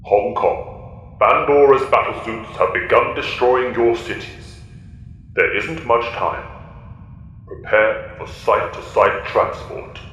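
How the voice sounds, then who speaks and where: A man playing a wise, commanding alien mentor in an audio drama, speaking in a formal, grave, measured way. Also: a bit bright. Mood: solemn, commanding. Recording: booming long reverb, dull, noisy.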